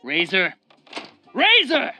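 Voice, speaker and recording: A man's voice: sits high, huge pitch swings, takes it slowly, pushed and bright, a little hiss or hum, heavily acted. A man playing a ruthless, unhinged gang boss, cornered and bitter, volatile and contemptuous, in a crime radio drama. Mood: desperate.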